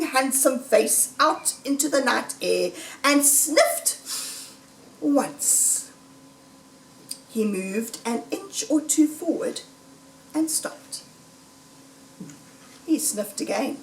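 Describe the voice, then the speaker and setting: A woman reading a children's story aloud in a deliberate, dramatic, suspenseful storytelling manner. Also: a little high, slight background noise. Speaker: storybook reader. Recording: roomy echo, distant microphone.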